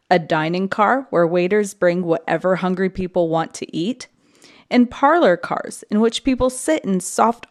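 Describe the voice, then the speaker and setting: A woman voicing a vain, snobbish male passenger engine in a children's storybook, haughty and drawn-out. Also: medium pitch, lightly acted.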